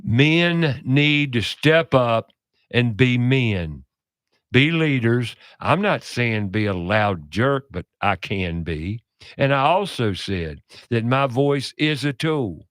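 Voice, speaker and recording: A man hosting a radio talk show, speaking in a loud, passionate, preachy way. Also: medium pitch, lively intonation, slow, no echo, studio quality.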